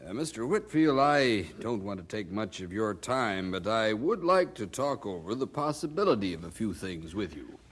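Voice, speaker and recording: A man's voice: lowish voice, very animated, moderate pace, few pauses. An actor playing a polite, inquisitive colonial newspaperman in a radio drama, courteous and unassuming. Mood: apologetic, polite.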